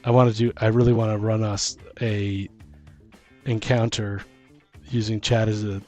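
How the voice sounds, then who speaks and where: A man's voice: lowish voice, little pitch movement, moderate pace, darkish tone, faint music behind. A man in casual podcast chat about tabletop gaming as co-host, informal and thinking aloud as he goes. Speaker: co-host and dungeon master.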